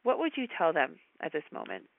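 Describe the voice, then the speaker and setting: A woman hosting a podcast, asking a guest long, measured questions. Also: sits low, huge pitch swings, gravelly, dry recording, phone-quality sound. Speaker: podcast host. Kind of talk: interview hosting.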